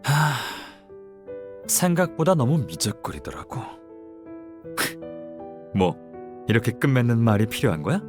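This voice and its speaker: A man's voice: medium pitch, big pitch swings, measured pace, pauses often. An actor playing a smug, evasive young man in a Korean game, talking in a casual, taunting drawl. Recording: music behind the voice.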